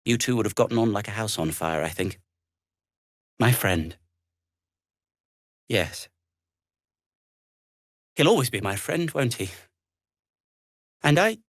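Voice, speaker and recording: A slow-spoken, earnest, fussy gnome inventor. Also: male voice, mid-range voice, a bit bright, dry recording, studio quality, heavily acted. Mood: mournful, halting. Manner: hesitant, wordy, old-fashioned politeness. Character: earnest, fussy, melancholy male gnome inventor, loyal and anxious. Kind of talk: video game character dialogue.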